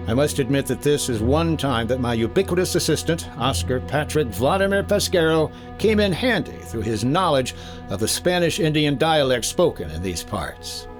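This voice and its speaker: Man as wry American insurance sleuth, slightly high voice, clipped, old-time radio drama style, music behind. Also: close to an everyday voice. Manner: clipped, dry, hard-boiled. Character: brisk, wry male freelance insurance investigator. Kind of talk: 1950s detective radio drama acting, first-person case narration.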